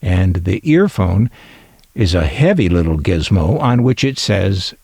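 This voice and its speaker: A man's voice: low-pitched, sing-song, unhurried, creaky, a little mellow, breathy edge, no room sound. An older man narrating a scripted hobby video as a collector, musing aloud with dry, wry humor. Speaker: collector presenting vintage radio finds.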